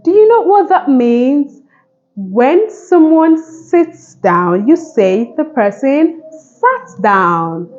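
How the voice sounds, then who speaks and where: High-pitched, slow, animated woman teaching phonics. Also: highs cut off, soft background music. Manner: sing-song, encouraging, simple repeated instructions. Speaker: phonics teacher and channel host. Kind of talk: children's phonics lesson, addressed to young viewers.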